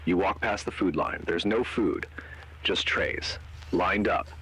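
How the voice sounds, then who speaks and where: A man performs a grave analog horror narrator with a very deep, husky voice. He is measured and deliberate, delivering scripted lines with an ominous weight. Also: fairly bright tone, exaggerated voice, poor audio. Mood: eerie, suspenseful. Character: grave male narrator and interrogator of a horror tale.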